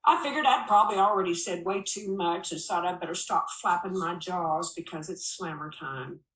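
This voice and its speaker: A woman reading a first-person memoir aloud as an audiobook, with expressive storytelling delivery. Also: on the low side, moderate pace. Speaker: audiobook reader. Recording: echoey room.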